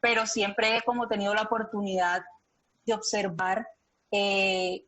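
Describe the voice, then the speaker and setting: Female voice, Colombian accent, little pitch variation, hesitant, casual audience feedback, video call. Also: flat delivery, unhurried, fairly bright tone, rough recording. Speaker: audience member giving feedback.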